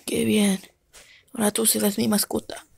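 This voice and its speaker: A woman voicing a possessive male owner who can turn back time, talking in a low, breathy, coaxing whisper. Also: flat delivery, normal speed, darkish tone, no echo. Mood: pleased.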